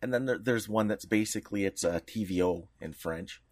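A man's voice: on the low side, fairly quick. A middle-aged man, chipping in casually as a podcast co-host. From Canada. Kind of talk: casual chat.

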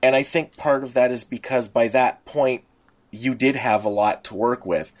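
A man's voice: medium pitch, fairly even intonation, moderate pace. A middle-aged man co-hosting a podcast, chatting casually as a fan in a rambling way with frequent restarts. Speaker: podcast co-host. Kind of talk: casual nostalgic fan chat.

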